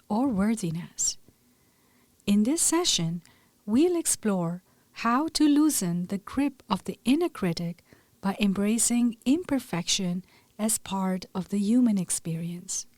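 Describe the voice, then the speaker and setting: Slow, soothing woman guiding a meditation. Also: medium pitch, animated, a little mellow, dry recording. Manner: soothing, deliberate, measured phrasing. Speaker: meditation guide. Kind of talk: gentle scripted guided meditation.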